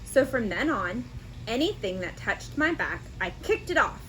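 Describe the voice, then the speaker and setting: A woman's voice: fairly high pitch, big pitch swings, normal speed, a bit bright, a little hiss or hum, rough recording. A woman voicing a stubborn, huffy young donkey in a children's storybook, complaining in an exaggerated, indignant way. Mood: defiant. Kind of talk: children's storybook read-aloud.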